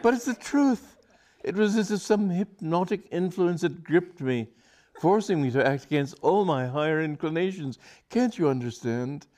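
Man playing a flustered young gentleman, stammering, high excited outbursts, storybook suitor. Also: normal speed, lightly acted. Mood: excited pleading.

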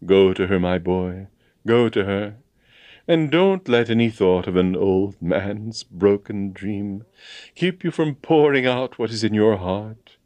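A man's voice: fairly low pitch, a little mellow, no echo. Man playing a bluff, wistful old army colonel. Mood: resigned, wistful. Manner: halting, repetitive, old-fashioned courtly and blustering phrasing. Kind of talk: dramatised storybook reading.

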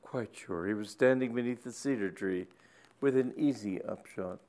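A man playing a shy young male curate who speaks quietly and hesitantly. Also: on the low side, moderate pace, natural voice. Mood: confiding.

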